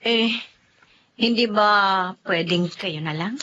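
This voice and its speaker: A woman's voice: mid-range voice, slow pace, fairly bright tone. A woman playing a modest, resentful young wife in a Filipino radio drama, plain-spoken and plaintive. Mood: aggrieved, complaining. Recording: dull lo-fi radio drama, faint noise.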